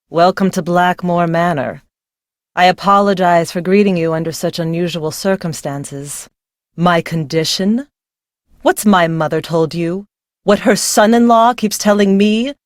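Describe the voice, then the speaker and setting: A woman's voice: lowish voice, unhurried, no echo, clean studio sound, natural voice. A young woman playing a secretive, fearful young bride in a video game, speaking in a weary, brooding, dramatic way. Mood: guarded, sarcastic.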